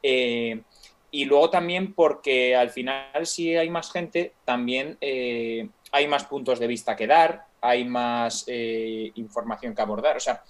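A man's voice: medium pitch, takes it slowly. A young man from Spain with a Peninsular Spanish accent, chatting as a guest YouTuber in a hesitant, friendly way full of fillers. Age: young adult. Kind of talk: casual live-stream chat.

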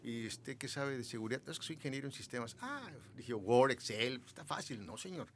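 A man's voice: medium pitch, brisk, fairly bright tone, a little hiss or hum. A man in his sixties, from Mexico City, talking as a guest in a casual interview with a rambling, loose delivery. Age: 60s. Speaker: guest, businessman.

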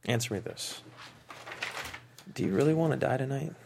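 A man playing a wounded, self-doubting man in a dramatic script read, with a gravelly voice and a halting, understated delivery. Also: medium pitch, animated, darkish tone, a little hiss or hum. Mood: hesitant. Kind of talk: dramatic table read of a screenplay.

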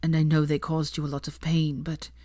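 A woman's voice: low-pitched, little pitch movement, a little fast, mellow, no room sound, clean studio sound, lightly acted. A woman voicing an anxious, stubborn young man in love, self-conscious and easily flustered, in an audiobook-style story reading. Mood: earnest, tender.

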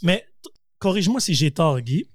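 A man casually debating identity on a podcast, animated and mixing English words into his French. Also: on the high side, moderate pace, dry recording, studio quality. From born in the United States, raised in several countries. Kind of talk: casual discussion.

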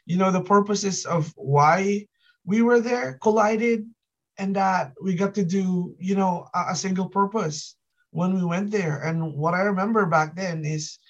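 Filipino man, podcast co-host, relaxed chat with lots of "you know", slightly dull lo-fi sound. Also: high-pitched, a little slow. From Philippines. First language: Ilocano. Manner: many fillers, relaxed and reflective. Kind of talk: casual chat.

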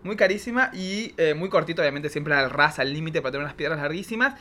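A man's voice: fairly high pitch, animated, quick pace, sharp tone. A man with an Argentine Spanish accent, a drag queen guest chatting casually in campy, gushing slang. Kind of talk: casual chat.

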